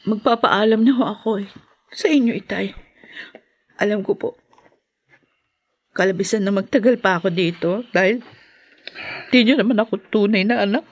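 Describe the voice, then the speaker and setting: A woman's voice: medium pitch, normal speed, long pauses, dry recording, dull audio, close to an everyday voice. A woman playing a wronged, long-suffering young daughter with a Filipino accent, tearful and defiant in a radio drama. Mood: hurt, subdued.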